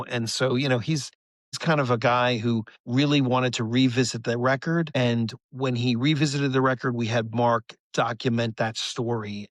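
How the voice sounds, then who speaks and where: A man's voice: mid-range voice, fairly bright tone, no room sound. Middle-aged man chatting in dry sound. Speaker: rock band leader as podcast guest. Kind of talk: casual anecdotal interview chat.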